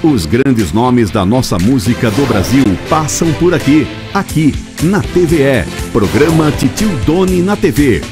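A man reading an energetic scripted station promo. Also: mid-range voice, measured pace, over music. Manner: energetic, slogan-like. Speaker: station announcer.

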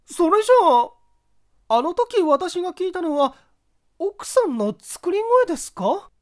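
A man voicing a meek, high-pitched good-natured woman. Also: very animated, measured pace, exaggerated voice. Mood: startled, hesitant.

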